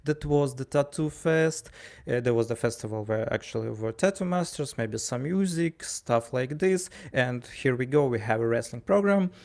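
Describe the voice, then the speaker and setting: A man with a creaky, gravelly voice and a Ukrainian accent. He talks casually, like a storyteller, stumbling and repeating words as he goes and voicing bits of dialogue. His pitch sits in an ordinary middle range. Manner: halting, stammering over words, animated storyteller acting out dialogue. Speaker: wrestling ring announcer and commentator. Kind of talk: casual spoken anecdote.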